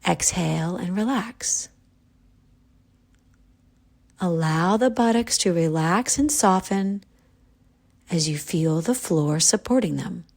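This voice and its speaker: A woman guiding a yoga nidra relaxation, giving calm, gentle, unhurried instructions with pauses. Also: lowish voice, creaky. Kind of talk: guided relaxation meditation.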